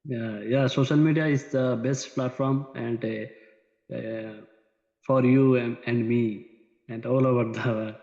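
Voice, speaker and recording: A man's voice: mid-range voice, steady tone, a little slow, creaky, a little mellow. A man interviewing a freelancer, speaking in short hesitant replies full of fillers. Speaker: interviewer, client. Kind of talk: casual conversation. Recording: dull, echoey room with long reverb.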